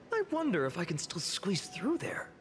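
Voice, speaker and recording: A man's voice: high voice, long reverb tail, slight background noise, full character voice. A man voicing a tall, dashing young man in a video game, speaking lightly and nostalgically. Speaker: game character.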